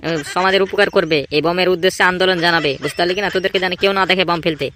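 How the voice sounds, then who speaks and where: A man's voice: high-pitched, fairly even intonation, racing, pushed and bright, a little hiss or hum. A man voicing an irritable, scheming village gang boss in a comedy cartoon, scolding and repeating himself emphatically. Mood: bossy, scolding. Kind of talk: exaggerated comedy cartoon dialogue.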